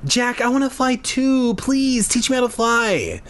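Give this one a high, swooping voice: a man playing a young girl in a storybook, whiny and pleading, quick to get bossy. She stretches for what she wants and sounds put out. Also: big pitch swings, fairly bright tone, exaggerated voice. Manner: begging, demanding, impatient.

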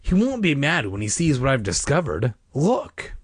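Man putting on an excitable high-pitched young boy. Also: normal speed, slightly rough, no echo, clean studio sound. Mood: excited, proud. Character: excitable, defensive young boy hero of a storybook.

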